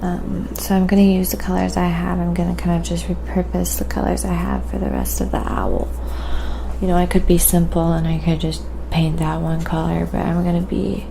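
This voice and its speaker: A soft-spoken woman hosting a quiet ASMR painting livestream, musing aloud in a relaxed, rambling, casual way and often slipping toward a whisper. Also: lowish voice, moderate pace, vocal fry, noisy background.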